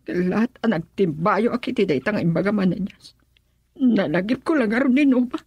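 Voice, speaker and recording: A woman's voice: mid-range voice, expressive, normal speed, darkish tone, breathy edge, no echo. An actress playing a concerned older female relative in a radio drama, inquiring in a worried way.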